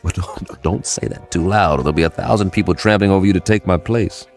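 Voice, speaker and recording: A man's voice: low-pitched, quick pace, soft background music. An actor playing a breezy, eager male traveler in a radio drama, stammering and repeating words. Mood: flustered. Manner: stammering, repetitive, animated.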